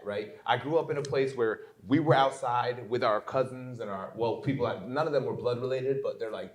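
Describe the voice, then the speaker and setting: A man with an American accent telling his story casually as a guest, often checking in with short tag questions. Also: mid-range voice. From born in Germany, grew up in upstate New York. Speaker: interview guest, poet. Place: indoor venue live event. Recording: roomy echo of a live venue.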